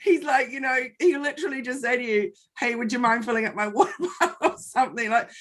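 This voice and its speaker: A woman in her forties, a guest in a conversation, telling personal stories in an animated, expressive way. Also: a little high, quick pace.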